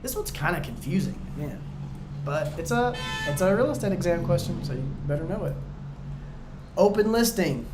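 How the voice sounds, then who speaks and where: A man tutoring viewers through real estate exam questions in a casual, chatty way, joking and going a bit hoarse. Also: high-pitched, lively intonation, normal speed, reverberant, music in the background. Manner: chatty, talks straight to viewers, jokey asides. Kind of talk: casual practice-exam walkthrough.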